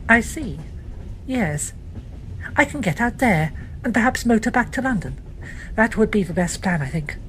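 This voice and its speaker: A woman's voice: fairly high pitch, expressive, moderate pace, long pauses, fairly bright tone, a little hiss or hum, voice pushed up, low-quality recording. A woman voicing a trim young woman with a faint foreign inflection, speaking in a breathless, guarded way. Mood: hurried.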